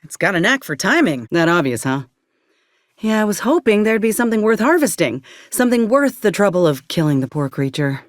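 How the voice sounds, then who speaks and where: An actress playing a tough, guarded, weary former combat medic in a video game, with a folksy, blunt, sardonic way of talking. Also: female voice, medium pitch, very animated, a little fast, dry recording, clean studio sound, close to an everyday voice. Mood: dry, sardonic. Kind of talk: video game character acting.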